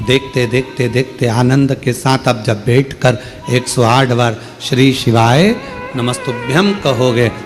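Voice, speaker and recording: Male preacher, mid pitch, emphatic devotional delivery, faint music underneath. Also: a little slow. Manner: emphatic preaching with rising exclamations. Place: open-air religious gathering.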